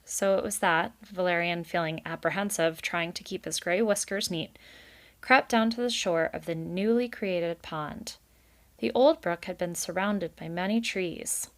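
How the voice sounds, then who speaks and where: A woman's voice: on the low side, unhurried. Woman reading a children's story aloud. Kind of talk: expressive read-aloud narration with brief recap.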